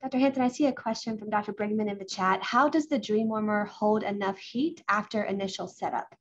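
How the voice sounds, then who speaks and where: A woman's voice: medium pitch, a little fast, slightly rough. Gracious woman moderator, dull webcam sound. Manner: gracious, formal. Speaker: event moderator. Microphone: video call webcam. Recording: dull webcam audio with faint hiss.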